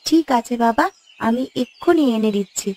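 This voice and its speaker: A woman voicing a caring young village daughter in a Bengali cartoon, gentle and coaxing. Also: fairly high pitch, moderate pace, slight background noise, close to an everyday voice. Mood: earnest. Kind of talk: Bengali cartoon story dubbing.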